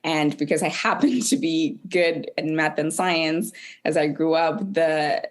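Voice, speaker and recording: Low-voiced woman from Zimbabwe. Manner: reflective, measured, with soft laughs. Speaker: author guest. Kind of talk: podcast interview.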